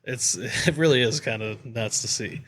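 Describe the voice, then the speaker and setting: A man's voice: mid-range voice, normal speed, fairly bright tone. A man co-hosting a podcast in casual, conversational chat. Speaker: podcast co-host.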